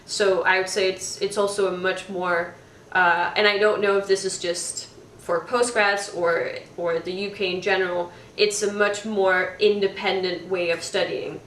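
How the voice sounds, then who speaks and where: A woman in her twenties from Denmark, a former student speaking thoughtfully about her studies, with frequent pauses and restarts. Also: mid-range voice, little pitch movement, a bit bright, roomy sound, distant mic, slight background noise, low-quality recording. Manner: thoughtful, hesitant with many ums and restarts. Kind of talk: casual talk.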